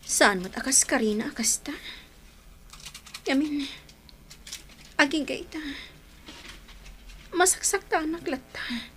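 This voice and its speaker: A woman's voice: sits high, animated, slow, pauses often, a bit bright, slight background noise, exaggerated voice. A woman acting a grieving young woman in a Filipino radio drama, hesitant and sighing. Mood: wistful, resigned. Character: Jasmine, young woman still grieving lost love.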